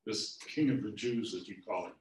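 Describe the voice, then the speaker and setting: A man's voice: fairly low pitch, moderate pace, darkish tone, heavy reverb, recorded from a distance, a little hiss or hum, lightly acted. A man voicing a weary middle-aged Roman governor who talks in a hesitant, placating way.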